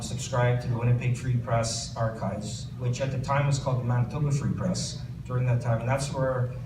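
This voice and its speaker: Canadian man reading aloud in an echoey room. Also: medium pitch. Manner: hesitant, many fillers and restarts. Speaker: author. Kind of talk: book reading and audience Q&A. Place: bookstore event space. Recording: roomy distant recording with background noise.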